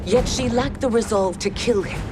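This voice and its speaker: A woman's voice: fairly high pitch, moderate pace, over music, lightly acted. An actress playing a proud, ruthless warrior empress and scheming mother in a video game, speaking in a regal, formal manner. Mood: cold, ruthless. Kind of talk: video game cutscene acting.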